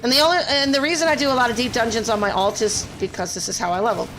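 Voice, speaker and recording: A woman's voice: a little high, steady tone, rapid-fire, a bit bright, faint music behind. A woman live-streaming a game and chatting casually with viewers, her voice strained and scratchy, apologetic and full of fillers.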